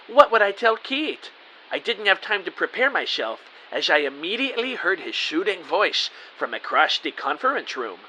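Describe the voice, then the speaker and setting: A man playing an earnest, excitable rookie spy who narrates and acts out his own adventure in an audio drama with eager melodrama. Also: high voice, moderate pace, exaggerated voice, low-quality recording. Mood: dramatic, anxious. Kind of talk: first-person audio drama narration with dialogue. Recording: faint background hiss.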